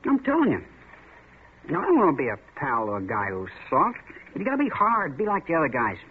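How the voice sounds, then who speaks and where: A man's voice: on the high side, huge pitch swings, a little fast, slightly rough, heavily acted. An actor playing a taunting, swaggering young man in a 1940s radio drama, needling his friend as a coward. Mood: goading. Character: taunting young male tough, Red. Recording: tinny lo-fi old radio broadcast with faint hiss.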